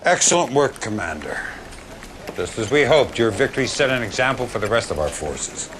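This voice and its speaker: An actor playing a gruff, hard-driving general briefing his officer in a video game cutscene. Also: mid-range voice, very animated, moderate pace, natural voice. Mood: urgent, rallying. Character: gruff, determined male military general, hard-driving and defiant. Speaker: military commander giving briefings. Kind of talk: dramatic cutscene acting. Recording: clear background noise and ambience.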